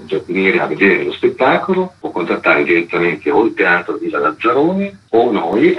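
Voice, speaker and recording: A man talking earnestly as an interview guest, over a dull radio link with some noise. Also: low-pitched. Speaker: interview guest, event organiser.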